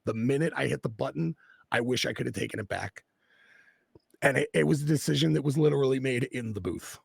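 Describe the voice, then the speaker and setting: A man with a very gravelly, husky voice, speaking at a slightly raised pitch. He talks bluntly and with passion, swearing freely. The sound is close and dry. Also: a little fast, dry recording. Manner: blunt and profane, impassioned. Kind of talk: casual political chat.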